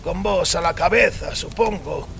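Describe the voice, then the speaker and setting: A man's voice: high-pitched, measured pace, vocal fry, fairly bright tone, soft background music, voice pushed up, exaggerated voice. A man voicing an anguished, defiant priest in dramatized audio fiction, accusing and impassioned in formal phrasing. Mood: bitter challenge.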